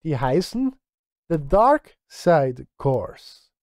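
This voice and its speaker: A middle-aged man streams from his home studio with a mellow, slightly high voice. He thinks aloud in loose, casual chat, muttering and grumbling at himself. The sound is close and dry. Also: very animated, drawn-out words, no room sound. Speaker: streamer and film music composer. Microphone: close studio microphone.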